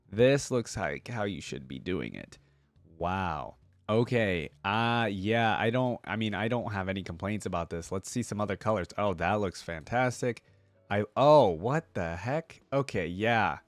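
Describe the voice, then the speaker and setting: A man's voice: fairly low pitch, expressive, unhurried, no room sound, faint music behind. A man hosting a reaction video, talking informally and thinking aloud as he comments and reads along. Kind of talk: casual reaction commentary, reading wiki text aloud.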